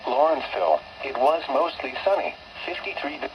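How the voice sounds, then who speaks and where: A synthetic male announcer reads out a weather broadcast, every phrase spaced evenly, with sudden pitch jumps on the numbers. He comes through a muffled radio receiver, and steady static hisses behind him. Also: brisk, vocal fry. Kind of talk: automated weather broadcast reading.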